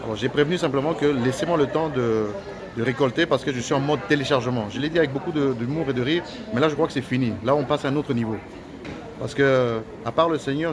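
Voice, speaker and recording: A man whose first language is Lingala, speaking in a heated, preachy and accusatory rant with many hesitations and repeated phrases. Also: mid-range voice.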